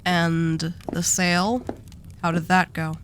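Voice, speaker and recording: Lady playing a sharp-tongued mob boss woman, wry and curt, audio rp, bit of background noise. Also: on the low side, flat delivery, slow. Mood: wry, fond. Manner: dry, curt, teasing. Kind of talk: audio roleplay drama acting.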